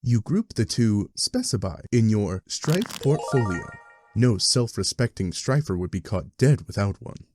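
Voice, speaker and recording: Male narrator, lowish voice, scripted storytelling, wry dry asides, faint music underneath. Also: very animated, a little slow, darkish tone. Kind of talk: scripted second-person narration of a webcomic fan dub.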